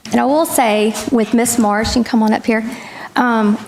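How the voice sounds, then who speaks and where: A woman's voice: fairly high pitch, steady tone, normal speed, a little mellow, rough recording. A middle-aged woman making public award announcements at a podium microphone in a meeting room. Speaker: presenter at county meeting. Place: indoor government meeting room. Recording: clear background noise in meeting room.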